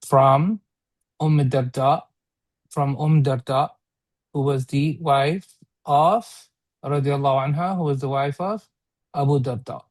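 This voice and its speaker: A man teaching an informal interactive read-along class, explaining phrase by phrase in a halting way and often checking for understanding. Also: on the high side, dry recording. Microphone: online video call.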